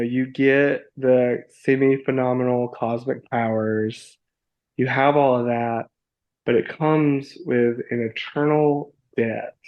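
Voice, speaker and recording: A man's voice: medium pitch, dull audio. A man chatting as a podcast guest, upbeat and playful, who slips into grand mock-formal wizard talk and laughs often. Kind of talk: enthusiastic casual chat.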